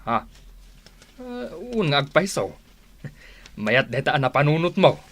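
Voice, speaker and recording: An actor with an Ilocano accent playing a greedy, scheming older brother in a radio drama, mocking and laughing. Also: male voice, a little high, big pitch swings, measured pace, a bit bright. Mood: scornful. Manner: mocking, frequent sneering laughs.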